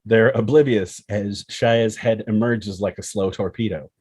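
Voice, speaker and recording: A male narrator reads screenplay action and scene headings. His voice is fairly low and raspy, recorded dry with no room sound. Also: steady tone, unhurried, darkish tone, no echo. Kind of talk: scripted screenplay narration, reading stage directions.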